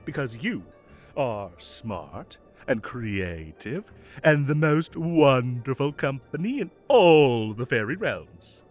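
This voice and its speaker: A man's voice: medium pitch, very animated, slow, faint music behind. A man playing a whimsical fae king of summer in an audio roleplay, theatrical, playful and grand, with mock indignation and doting fondness for his cats.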